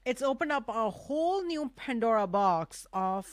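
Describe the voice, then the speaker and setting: A woman's voice: fairly high pitch, animated, unhurried, no room sound, studio quality. A woman whose first language is Urdu, hosting a casual live talk show with an effusive, emphatic manner that repeats phrases for emphasis.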